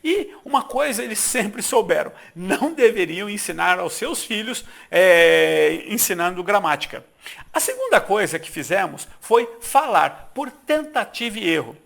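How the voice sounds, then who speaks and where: A middle-aged Brazilian man narrating a persuasive sales pitch for his course, emphatic and full of rhetorical questions. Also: creaky, a bit bright. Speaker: course founder pitching an English course.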